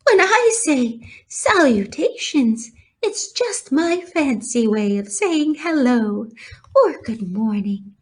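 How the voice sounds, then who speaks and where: A woman's voice: sits high, pitched above the performer's own voice, heavily acted. A woman voicing a small, kindly, refined female spider with a thin voice in a children's storybook. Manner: gracious, slightly fancy. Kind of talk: children's storybook read-aloud.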